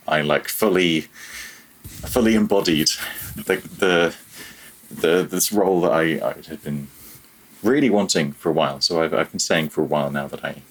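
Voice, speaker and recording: A man with a very deep, husky voice talks as a podcast guest. He is reflective and casual, feeling his way through halting phrases with a modest, self-deprecating touch. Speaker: podcast guest, freelance audio programmer. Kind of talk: reflective casual interview talk.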